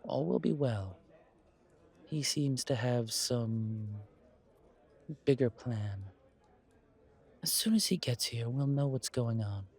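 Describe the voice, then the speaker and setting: A man voicing a courtly, wary, centuries-old vampire in a roleplay audio drama, speaking in a measured, formal, breathy way. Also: medium pitch, expressive, a little mellow. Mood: reassuring. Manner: measured, formal, politely dry.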